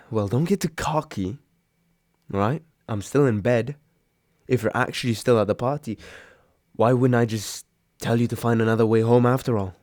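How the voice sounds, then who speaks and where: Roleplay audio drama: male actor as a grumbling, sarcastic young man, reluctant roommate with a soft spot. Average pitch, very dry intimate sound. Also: normal speed, pauses often, dry recording, close to an everyday voice. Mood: sardonic. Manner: grumbling, sighing, exasperated. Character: grumpy sarcastic young male roommate, secretly caring, woken from sleep. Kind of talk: intimate roleplay audio drama, one side of a phone call.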